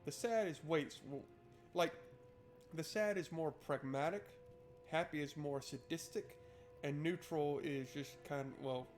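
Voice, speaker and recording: A man's voice: a little high, measured pace, soft background music. A man streaming a game, switching between reading narration aloud and casual, irreverent, sweary joking commentary.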